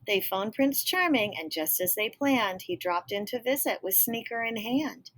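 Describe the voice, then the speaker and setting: A woman's voice: on the high side, lively intonation, normal speed, a bit bright. A woman reading a children's picture book aloud expressively, with explanatory asides to young listeners. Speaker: storybook reader.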